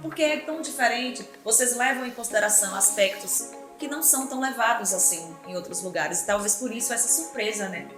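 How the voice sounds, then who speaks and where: A woman's voice: on the high side, fairly quick. A woman hosting a school podcast, chatting casually and enthusiastically with her guests and calling out to listeners. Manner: enthusiastic, affirming, addresses listeners as "minha gente". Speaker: podcast host. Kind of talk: casual interview chat. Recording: echoey room with background music.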